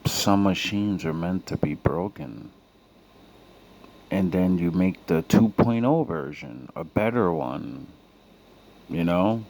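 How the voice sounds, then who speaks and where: A man's voice: sits low, warm tone, low-quality recording. A man giving a casual, reflective monologue in a musing, philosophical way, often letting thoughts trail off. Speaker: commentator reacting to film villain quotes. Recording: clear background noise.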